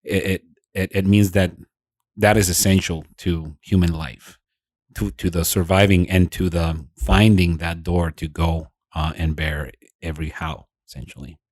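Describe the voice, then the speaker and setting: A man's voice: low-pitched, steady tone, unhurried, a little mellow, dry recording, studio quality. A man co-hosting a podcast, explaining ideas in casual chat and repeating words as he thinks. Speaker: podcast co-host.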